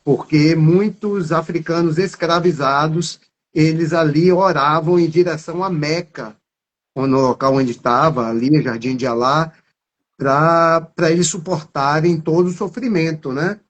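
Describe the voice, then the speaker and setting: A man's voice: fairly high pitch, steady tone, unhurried, dull audio. A man from Salvador with a Bahian accent, talking at length as an interview guest and filling his speech with little tag words. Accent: Bahian Brazilian Portuguese. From Salvador, Bahia, Brazil. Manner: warm, rambling, many fillers like né and tá. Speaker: capoeira master.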